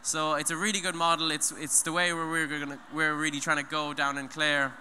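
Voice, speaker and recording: Earnest young man on a bright stage mic. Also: on the high side, level tone, a little fast. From Ireland. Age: young adult. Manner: earnest, rambling, many restarts and "you know". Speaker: speaker at an eco event. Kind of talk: talk on stage. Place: large hall, on stage. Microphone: handheld mic. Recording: long hall reverb, faint noise.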